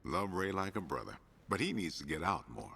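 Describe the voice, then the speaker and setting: A man playing an easygoing, dry, deadpan boss of a ghost-hunting company in a video game. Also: low voice, a little fast, gravelly, noisy background, exaggerated voice. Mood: friendly, dry.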